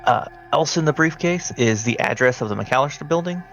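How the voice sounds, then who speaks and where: A man narrating a tabletop roleplaying game as game master, speaking haltingly and deliberately. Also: on the high side, animated, a bit bright, lo-fi sound, soft background music. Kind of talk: narrating a tabletop roleplaying game, partly in character as a briefing handler.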